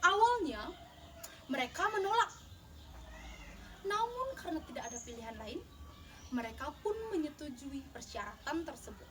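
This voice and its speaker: A woman's voice: high-pitched, animated, normal speed, long pauses. A young woman telling a folktale in a theatrical, emphatic storytelling style, as if in a contest. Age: young adult. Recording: distant mic, clearly noisy background.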